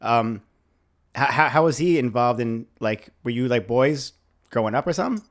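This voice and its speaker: A man co-hosting a podcast, chiming in with quick reactions and questions. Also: mid-range voice, expressive. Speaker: podcast co-host. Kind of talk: casual conversation.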